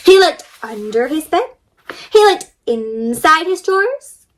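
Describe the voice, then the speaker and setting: A high-pitched female voice leads an interactive story time for children. She is expressive and encouraging, speaking directly to young viewers with questions and step-by-step prompts. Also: slow. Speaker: read-aloud host for children. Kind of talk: interactive children's story read-aloud with craft instructions.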